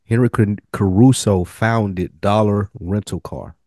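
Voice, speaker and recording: A man's voice: fairly low pitch, a little slow, mellow, no echo, clean studio sound. A man co-hosting a podcast, discussing things casually and sharing researched facts. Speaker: podcast co-host.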